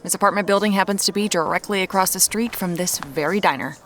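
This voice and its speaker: An actress playing an inquisitive young American amateur detective with a dry, wry manner in an audio drama. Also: female voice, mid-range voice, fast, a bit bright, close to an everyday voice. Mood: wry, probing. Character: inquisitive, dryly funny amateur detective woman.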